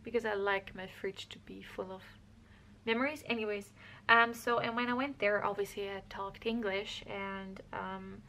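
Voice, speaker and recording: A woman's voice: medium pitch, steady tone, normal speed, creaky, pushed and bright. A young woman from Austria casually talking through her scrapbook album, rambling and correcting herself as she goes. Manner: rambling, self-correcting, chatty. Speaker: craft vlogger.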